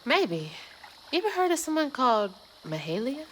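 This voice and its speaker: An actress playing an earnest, determined young weaver in a video game. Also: female voice, sits high, big pitch swings, normal speed, noisy background. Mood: reflective, curious. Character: determined, earnest young female weaver searching for her mother. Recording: game music behind the voice.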